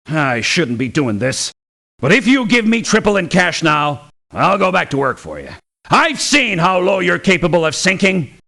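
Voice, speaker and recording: Cocky male game mercenary: bright forceful voice, slightly high, creaky, scornful and emphatic. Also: heavily acted. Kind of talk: video game voice lines.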